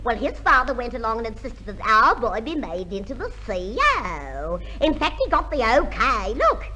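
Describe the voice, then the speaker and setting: A woman playing a garrulous, excitable working-class mother in a 1950s radio comedy, chattering and repeating herself. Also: a little high, moderate pace, faint music behind, rough recording. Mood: excited, fretting. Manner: chattering, tags sentences with repeats. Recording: vintage radio recording with faint noise.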